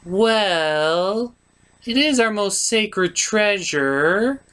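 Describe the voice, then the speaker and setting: A woman's voice: mid-range voice, lively intonation, drawn-out words, a bit bright, clear, resonant tone. A woman voicing a regal, stern sea pony queen in a puppet show, haughty and firm. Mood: guarded.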